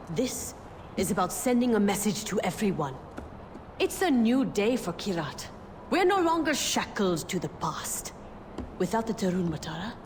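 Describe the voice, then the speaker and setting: A woman's voice: medium pitch, noisy background, lightly acted. An actress playing a hard-line young female rebel commander in a video game, blunt and commanding, scornful of old traditions. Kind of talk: video game cutscene dialogue.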